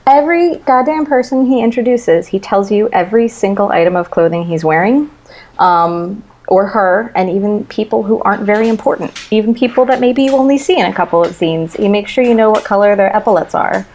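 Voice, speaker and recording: A woman's voice: medium pitch, a little fast, darkish tone. A woman chatting casually as a guest in a book discussion, with a blunt, comically complaining manner. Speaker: podcast guest. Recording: clearly noisy recording with clicks.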